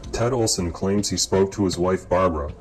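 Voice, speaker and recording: A man reading scripted documentary narration in a measured, formal way, as the narrator. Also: sits low. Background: guitar music.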